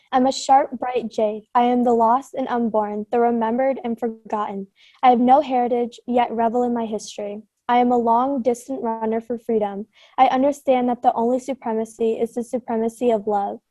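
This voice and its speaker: A woman's voice: on the high side, steady tone, moderate pace, mellow, no echo. A woman reciting lines of a poem earnestly.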